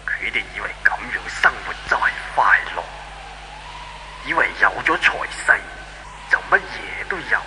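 An actor with a Hong Kong Cantonese accent playing an ancient, patient heavenly god who pleads and moralizes in an old radio drama. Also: male voice, lowish voice, sing-song, sharp tone, heavily acted. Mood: solemn preaching. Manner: preachy, measured, pleading. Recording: faint noise, old 1970s radio recording.

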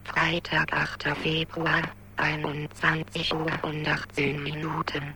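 A woman voicing an impersonal automated voicemail announcer in a radio drama, measured and clipped. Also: sits low, monotone, background noise. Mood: neutral.

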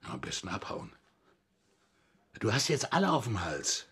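Radio drama heavy: a gruff street type, extremely hoarse, low, with animated pitch. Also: male voice, normal speed, full character voice. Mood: grim. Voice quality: very hoarse, rasping. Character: gruff, streetwise man giving grim warnings.

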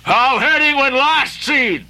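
Guy doing a booming old sea captain, obsessed and brooding, raised pitch, bright ringing tone. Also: very animated, measured pace, full character voice, poor audio. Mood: commanding. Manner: booming, impassioned, archaic declamation. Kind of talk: audiobook character voicing.

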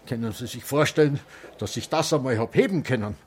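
An actor playing a grumpy, rambling old Austrian man in a sanatorium, with halting speech, in a radio drama. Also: mid-range voice, a little hiss or hum, natural voice. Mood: querulous. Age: older. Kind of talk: radio drama acting with inner monologue.

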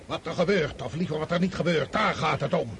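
An actor in a radio drama playing an old, sickly highborn army commander who scolds imperiously. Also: male voice, a little fast, fairly bright tone, slight background noise, close to an everyday voice. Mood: furious.

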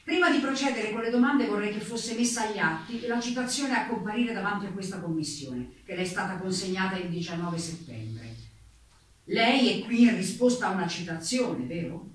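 In a strongly echoing space, some way from the mic, a woman acts as a stern committee investigator in a stage drama. The questioning is formal, deliberate and insistent, pressing the witness for answers. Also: medium pitch, lively intonation, close to an everyday voice. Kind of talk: staged courtroom docudrama. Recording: echoey room, distant mic, faint noise.

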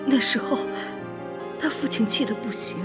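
A woman playing a hesitant, regretful middle-aged mother in an audio drama, gentle and halting. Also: on the high side, over loud music, natural voice. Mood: quietly regretful.

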